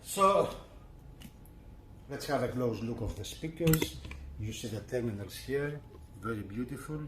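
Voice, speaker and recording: A man giving a casual, unscripted product walkthrough as a reviewer, with halting delivery and repeated phrases. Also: medium pitch, animated, very noisy.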